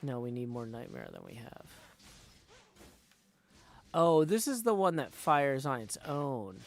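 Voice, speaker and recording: A man's voice: medium pitch, huge pitch swings, a little slow, creaky, slight background noise. A man streaming a video game, chatting casually and thinking aloud as he reads text off the screen. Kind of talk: casual game livestream commentary.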